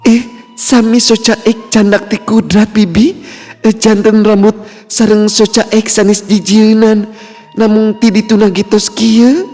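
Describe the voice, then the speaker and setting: Man putting on a very high, polite foreigner. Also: little pitch movement, measured pace, darkish tone. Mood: patient. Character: polite blond, green-eyed foreigner explaining patiently. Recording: long reverb, music bed.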